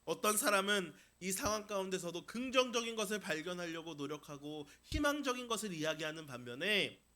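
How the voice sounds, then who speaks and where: A male pastor delivers a sermon in a notably high, bright voice. His tone is forceful and emphatic. He repeats key phrases and puts rhetorical questions to his listeners. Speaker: pastor preaching. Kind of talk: Sunday sermon to a congregation.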